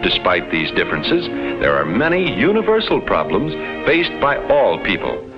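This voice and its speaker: A dull vintage film soundtrack with music behind it carries a detached explorer from another planet. The narration is formal, measured and precisely enunciated in 1950s educational style, with a somewhat low pitch that moves expressively. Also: male voice, huge pitch swings. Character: detached alien explorer reporting on Earth's inhabitants.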